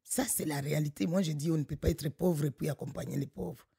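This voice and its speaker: A middle-aged woman from Congo, director of a local association, speaking in an animated, emphatic way with frequent restarts. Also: low voice, fast, creaky, slightly rough, no echo, clean studio sound. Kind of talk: conversational podcast interview.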